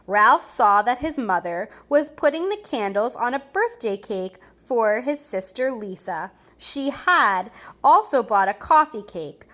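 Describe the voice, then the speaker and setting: Lady teacher doing storytime for little kids, kinda high voice, takes her time, explains stuff as she goes. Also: big pitch swings, a bit bright. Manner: deliberate, explains and comments to young listeners. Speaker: preschool teacher reading aloud to children. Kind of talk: expressive storybook read-aloud for young children. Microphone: recorded on camera for a video.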